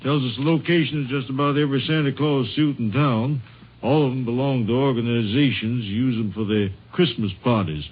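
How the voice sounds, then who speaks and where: An actor playing a businesslike city police chief with a plain, informal manner, in old-time radio drama on a vintage recording. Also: male voice, medium pitch, fairly even intonation, brisk, breathy edge, natural voice. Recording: dull, faintly noisy vintage radio recording.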